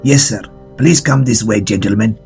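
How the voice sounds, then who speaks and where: An actor playing a discreet, servile restaurant waiter in a radio play, with a gravelly, husky voice and formal manner. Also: male voice, lowish voice, moderate pace, darkish tone, faint music behind. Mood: deferential. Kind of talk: radio drama acting.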